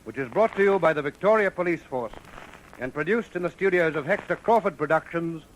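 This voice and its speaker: High-pitched, solemn Australian male radio narrator. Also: animated, a little fast. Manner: solemn, declamatory. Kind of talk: formal scripted radio narration. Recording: noisy old radio broadcast recording.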